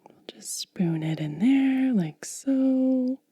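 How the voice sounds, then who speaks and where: A woman doing soft-spoken ASMR, mostly whispering in a gentle, breathy, casual way as she narrates a hands-on demonstration close to the mic. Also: high voice, lively intonation. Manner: gentle, unhurried, casual commentary. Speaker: ASMR video creator and host. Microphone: close mic, dry.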